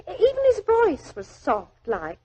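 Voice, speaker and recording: An actress playing a naive, devoted young typist, anxious, chatty and deferential, in 1940s radio style. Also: female voice, extremely high pitch, wildly swooping pitch, dark tone, full character voice. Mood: earnest, devoted. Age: young adult. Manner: deferential, chatty, halting when flustered. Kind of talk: 1940s radio drama acting. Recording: old 1940s radio drama recording.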